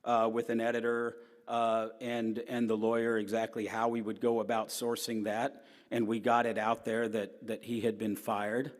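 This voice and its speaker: A middle-aged man with a loud, strong voice gives a talk as a newspaper columnist. He tells personal stories earnestly, rising to emphatic points and dropping to quiet asides. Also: lowish voice, monotone, normal speed. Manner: earnest storytelling, swinging between emphatic and quiet. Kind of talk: personal lecture to an audience. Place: large hall. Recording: long hall reverb.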